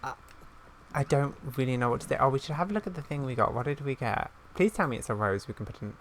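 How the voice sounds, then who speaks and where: Female gaming host, medium-low everyday voice, chatty and rambling, casual off-the-cuff commentary. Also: animated, fast, slight background noise.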